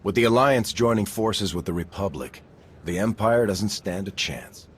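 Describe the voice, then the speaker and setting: Actor as very deep-voiced, resolute military commander. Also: male voice, slight background noise, close to an everyday voice. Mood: inspiring. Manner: earnest, resolute, measured. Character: steadfast gruff male military commander, veteran war hero. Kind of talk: video game cutscene acting.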